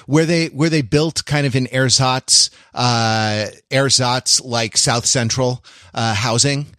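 A man's voice: mid-range voice, takes it slowly, bright, forceful tone, dry recording, clean studio sound. An American man co-hosting a podcast, telling stories casually in a rambling, wry, erudite manner. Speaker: podcast co-host. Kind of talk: casual conversational anecdote telling.

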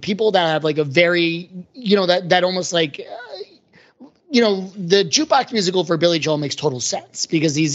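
A woman's voice: lowish voice, dull audio. A woman chatting casually as a podcast panelist, hedging her points in a conversational way. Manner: hedging, conversational, frequent 'like' and 'sort of'. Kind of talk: casual discussion, critiquing song lyrics.

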